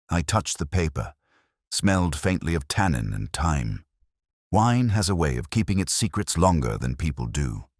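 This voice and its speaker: A man voicing a wry, literary middle-aged male detective, narrating a mystery in a measured, reflective first person. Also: rumbling low, no room sound, clean studio sound, full character voice. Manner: measured, reflective, quietly observant.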